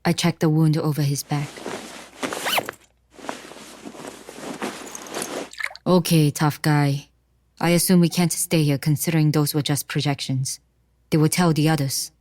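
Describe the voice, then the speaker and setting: An actress playing a tough, composed woman fighter, narrating an audio drama in the first person with measured drama. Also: low-pitched, steady tone, noisy background, close to an everyday voice. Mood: concerned, dry.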